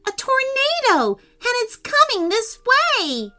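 A woman voicing an earnest, excitable young boy prince in a children's story, with wide-eyed exclamations. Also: sits high, a little slow, soft background music, exaggerated voice. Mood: urgent.

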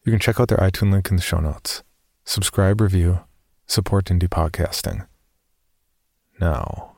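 A man's voice: low voice, measured pace, gravelly, a little mellow. A man hosting a horror podcast and reading scripted first-person stories. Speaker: podcast host and story reader.

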